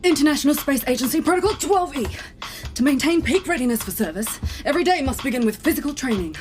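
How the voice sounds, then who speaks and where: A woman's voice: high-pitched, animated, fast talker, noisy background. A woman playing a proud, disciplined military starship co-captain in a sci-fi comedy audio drama, formal, earnest and officious. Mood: brisk, officious. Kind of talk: scripted science-fiction comedy audio drama.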